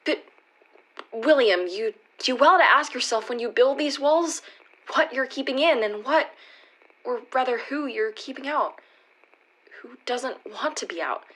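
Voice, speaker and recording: Woman acting earnest, anxious girlfriend, higher voice. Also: fairly bright tone. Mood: hurt, insistent. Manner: halting, earnest, reasoning. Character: Molly, anxious girlfriend pleading to save a failing relationship. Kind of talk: radio play acting, naturalistic dialogue.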